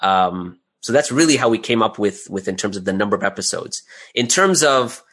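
Male interview guest, lower register. Talks through his creative process conversationally, point by point, asking himself questions. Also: animated, fairly quick, a bit bright, husky. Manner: explains step by step, often posing rhetorical questions.

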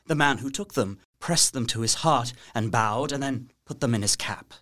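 An actor playing an anxious, resourceful young captive gentleman, narrating his journal carefully and earnestly in an audio drama. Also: mid-range voice, moderate pace, close to an everyday voice. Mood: excited, hopeful. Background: music.

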